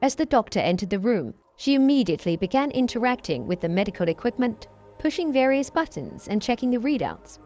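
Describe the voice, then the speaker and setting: A woman with a fairly high voice tells a story in a steady, unhurried read. Soft music plays behind her throughout. Her delivery is scripted and even. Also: lo-fi sound.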